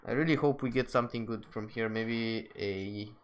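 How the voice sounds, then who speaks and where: A man's voice: mid-range voice, normal speed, slight background noise. A man giving casual, off-the-cuff commentary as he plays a video game. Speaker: gaming video host.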